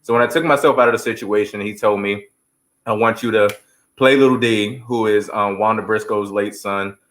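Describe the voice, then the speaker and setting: A man's voice: fairly low pitch, steady tone, normal speed, fairly bright tone. A man giving heartfelt interview answers as a stage actor, telling stories earnestly and quoting scripture like a preacher.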